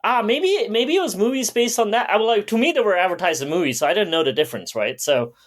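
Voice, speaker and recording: A man's voice: sits high, expressive, quick pace. A man co-hosting a podcast, chatting and reminiscing in a casual way. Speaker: podcast co-host.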